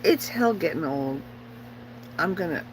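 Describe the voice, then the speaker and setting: A woman's voice: deep voice, animated, measured pace, a little hiss or hum, poor audio. A middle-aged woman chatting casually on a livestream as host, rambling and opinionated, bluntly repeating herself for emphasis.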